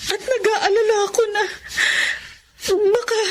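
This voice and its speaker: A woman's voice: very high-pitched, steady tone, unhurried, a little hiss or hum. An actress playing an anguished, pleading middle-aged mother with a Filipino accent, in radio melodrama. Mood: worried. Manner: tearful, pleading, sorrowful.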